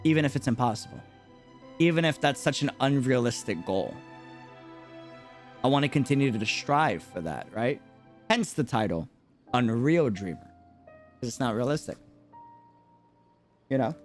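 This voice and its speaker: A man giving casual live stream commentary on a game, musing haltingly and often checking for agreement. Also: medium pitch, animated. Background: game music.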